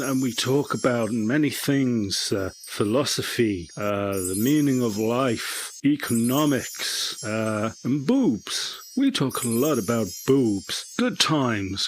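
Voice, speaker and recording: A man playing a halting, naive tech-guru character who stammers and rambles through a comedy radio monologue. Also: mid-range voice. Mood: rambling, fond. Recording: noisy recording with a hum.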